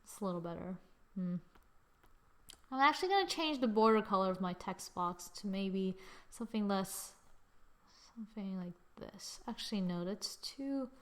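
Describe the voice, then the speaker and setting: A woman hosting a casual drawing software tutorial, thinking aloud informally and often murmuring as she works. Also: mid-range voice, lively intonation, moderate pace. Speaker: tutorial host. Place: screen-recording voice-over.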